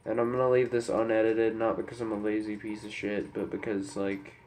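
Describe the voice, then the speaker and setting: Young male vlogger, congested with a cold. Subdued, flat delivery, halting and serious, light background noise on a camera mic. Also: on the low side. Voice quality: stuffy, congested. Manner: somber, hesitant, apologetic. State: head cold. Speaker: YouTuber. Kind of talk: unscripted personal talk to camera. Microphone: camera microphone.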